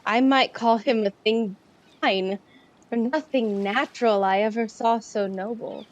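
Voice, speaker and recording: Actress as a wide-eyed maiden daughter, high voice, slow pace, earnest, wondering, lovestruck, Shakespearean audio drama. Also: female voice, steady tone, lo-fi sound, natural voice.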